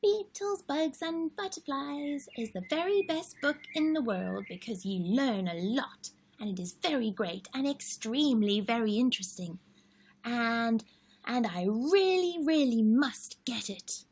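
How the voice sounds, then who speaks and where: A woman voicing an excitable little girl who loves bugs, pleading in a high sing-song voice in a storybook read-aloud. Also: a little slow, slightly rough, exaggerated voice. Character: excitable little girl, bug-mad and insistent.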